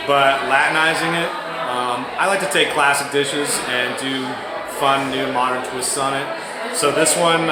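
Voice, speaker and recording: A man from Vermont who works as a chef, answering interview questions in a relaxed, thoughtful way with frequent ums. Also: mid-range voice. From Vermont, USA. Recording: roomy echo, loud background noise.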